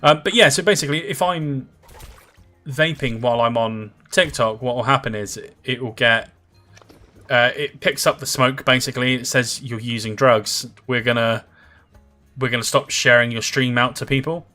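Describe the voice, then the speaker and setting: Chatty, bright-voiced man in his thirties. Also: mid-range voice, normal speed, faint music behind. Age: 30s. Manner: rambling, chatty, filler-heavy, reads out and answers viewer comments. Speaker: livestreamer. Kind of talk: casual livestream chat while gaming.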